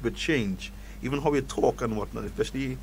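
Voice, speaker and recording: A man with a strong Trinidadian accent, a guest expert on a talk show, explaining in halting speech with many repeated words. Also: medium pitch, vocal fry, darkish tone, slight background noise.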